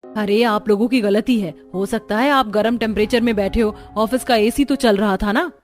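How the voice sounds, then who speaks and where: A woman's voice: mid-range voice, normal speed, soft background music, natural voice. A woman voicing a breezy, argumentative young daughter-in-law in a cartoon story. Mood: defensive.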